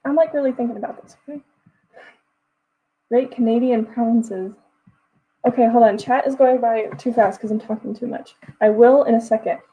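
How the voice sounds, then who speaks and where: A chatty young woman from Texas talks casually to her livestream viewers. Her voice sits a bit high but has a warm, mellow tone. The sound is a little muffled, like a home computer microphone. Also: moderate pace, pauses often, slight background noise. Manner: chatty, reads chat messages aloud and answers them. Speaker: livestream host.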